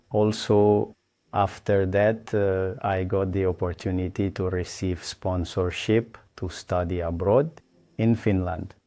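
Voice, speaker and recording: A man with a very low, warm, mellow voice explains his engineering work at an unhurried pace. He pauses often and restarts his phrases. The recording is dry and close. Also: dry recording. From Tanzania.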